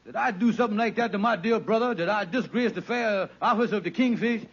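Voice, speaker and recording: A man's voice: sits high, brisk, fairly bright tone, slightly rough, exaggerated voice. A man acting a scheming, wheedling, bossy con man with grandiloquent but folksy ungrammatical speech in an old-time radio sitcom. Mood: mock indignant, pompous. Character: Kingfish, scheming, blustery, wheedling adult male con man. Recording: muffled, noisy vintage radio recording.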